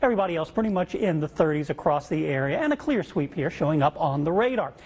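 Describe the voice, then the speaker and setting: High-voiced male TV weather forecaster. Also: animated, fast talker, a little mellow, husky. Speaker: TV meteorologist. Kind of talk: live broadcast weather forecast.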